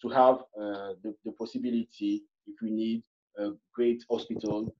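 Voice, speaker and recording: A man from Cameroon, a guest in a panel discussion, who talks in a halting way with many fillers and restarts. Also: on the low side, little pitch movement, a little mellow. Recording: muffled online call audio.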